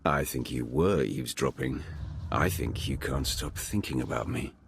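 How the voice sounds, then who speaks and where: Very deep, terse male game hero. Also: normal speed, gravelly, slight background noise. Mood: cool, dry. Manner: terse, dry. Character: deep-voiced, cool, menacing male hero with dry teasing streak. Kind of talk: video game cutscene acting.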